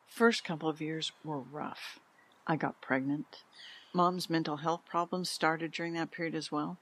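A woman playing a frail elderly mother who recalls a hard past frankly and deliberately in an audio drama. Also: low-pitched, steady tone, rough recording. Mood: reflective. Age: older.

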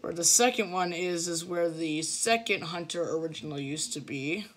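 A man's voice: fairly high pitch, fairly bright tone, a little hiss or hum. A young man giving a casual, hesitant walkthrough of his game build while filming the screen with a phone. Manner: slow, hesitant, with fillers. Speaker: gamer showing a game build. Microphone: phone filming a computer screen.

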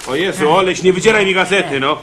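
An actor playing a condemned prisoner in a radio drama, deliberate and easily exasperated, with a husky, halting delivery. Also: male voice, high-pitched, natural voice. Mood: exasperated, relieved. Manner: hesitant, halting, spelling things out.

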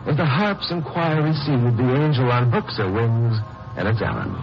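An actor playing an earnest, wry lawyer hero in a 1950s radio drama, with an American accent. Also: male voice, mid-range voice, sing-song, normal speed, over music. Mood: tense, wry. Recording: muffled, noisy old radio recording.

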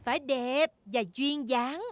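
A woman's voice: high-pitched, steady tone, over loud music. A woman voicing a graceful, encouraging gazelle ballet teacher in a cartoon dub. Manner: deliberate, instructive.

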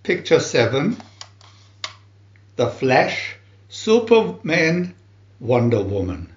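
A man reading scripted children's narration like an announcer, with a deliberate, dramatic delivery. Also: on the high side, sing-song. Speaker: booklet reader and channel host. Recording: roomy echo, dull lo-fi sound.

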